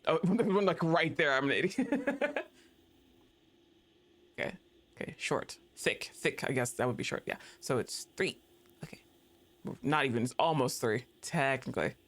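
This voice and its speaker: A man streaming a game live, reacting loudly, swearing, laughing often and talking to the game. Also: a little high. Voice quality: excitable, profane, quick to laugh, talks to the game. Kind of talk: live gameplay commentary.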